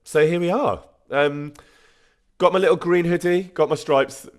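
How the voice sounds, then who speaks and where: A man's voice: on the high side, unhurried. A man with a bunged-up nose, chatting casually on a live stream, self-deprecating and full of ums. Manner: chatty, self-deprecating, thanks viewers warmly with frequent ums. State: congested, stuffy nose.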